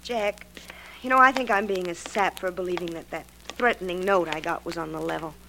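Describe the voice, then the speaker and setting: A woman's voice: lowish voice, brisk, vocal fry, pushed and bright. A woman playing a spirited young actress in an old radio mystery. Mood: thoughtful, uneasy. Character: spirited young actress, engaged, wisecracking but uneasy. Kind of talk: 1940s radio acting. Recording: faintly noisy old radio recording.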